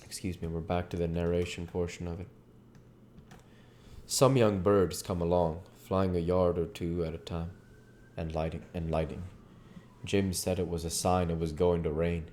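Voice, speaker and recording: Man, deep mellow voice, storybook reader, folksy delivery, occasional stumbles, background noise. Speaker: reader and channel host. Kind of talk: storybook narration.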